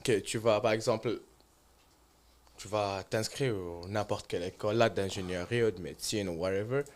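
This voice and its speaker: A man's voice: fairly low pitch, moderate pace, a little hiss or hum. Slangy young man from Morocco. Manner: relaxed slangy talk mixing in English. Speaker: guest. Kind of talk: casual chat.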